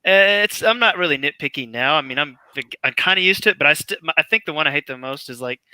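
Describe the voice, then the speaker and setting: A man from Tennessee, chatting on a video call in an animated, complaining way. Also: a little high, clean tone, no echo. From Tennessee, US South. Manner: animated, complaining, quick with laughs. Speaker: guest on a live chat. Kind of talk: casual group chat.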